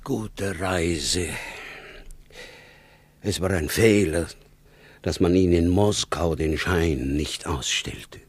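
Flustered, husky male official. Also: sits low, very slow, pauses often, no room sound, heavily acted. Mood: resigned, strained. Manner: flustered, hesitant, repeats himself. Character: anxious Soviet spa director, afraid of responsibility. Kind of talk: radio play acting.

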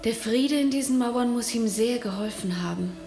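A woman's voice: fairly high pitch, moderate pace, darkish tone, faint music behind, close to an everyday voice. An actress playing an anxious, caring young woman in a radio horror drama, earnest and solicitous.

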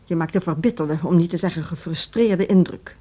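An actress playing a firm school director in a naturalistic radio drama, speaking in a cool, measured, formal manner. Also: female voice, on the low side, dark tone, breathy edge. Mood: cutting, controlled. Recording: dull, muffled radio play recording.

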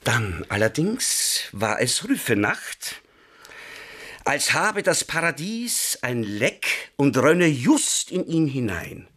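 An actor playing a wry crime-tale narrator in a radio drama, deliberate and relishing each short phrase. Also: male voice, mid-range voice, big pitch swings, sharp tone, exaggerated voice. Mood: rapt, lyrical. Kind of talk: scripted first-person radio drama narration.

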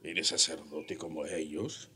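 A man playing a proud, vengeful Spanish colonial captain in a radio drama, commanding and mocking, with a husky voice. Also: a bass voice, flat delivery, measured pace, sharp tone, full character voice. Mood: menacing, insinuating.

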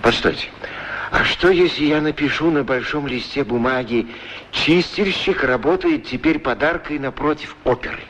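A man's voice: fairly high pitch, moderate pace, fairly bright tone, close to an everyday voice. An actor playing a lonely middle-aged shoeshine man in a radio play, grumbling yet wistful and proudly polite. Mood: hesitant, hopeful. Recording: noisy 1960s radio recording.

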